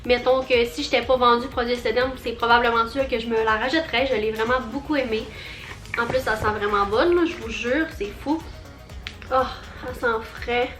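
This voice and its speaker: A woman's voice: on the high side, fairly even intonation, a little fast, a bit bright, roomy sound, faint music behind. A young woman with a Quebec French accent, chatting through a casual haul vlog, bubbly and rambling with lots of fillers. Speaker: vlogger.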